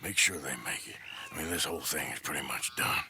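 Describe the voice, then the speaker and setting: An actor playing a weary, gruff American outlaw with a Western drawl, terse and plain-spoken, in a dramatic video game. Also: male voice, extremely low pitch, brisk, pushed and bright, a little hiss or hum, heavily acted. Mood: earnest, somber.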